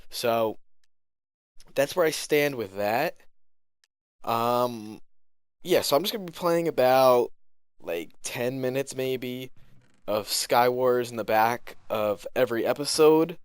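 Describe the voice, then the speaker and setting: A man's voice: medium pitch, slow pace, pauses often, clean tone, no echo, studio quality. A young man doing a casual storytelling voiceover in a slangy, griping way while sick and starting to lose his voice. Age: young adult. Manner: slangy, animated griping with acted-out quotes. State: sick, losing voice, coughing. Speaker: gaming video host.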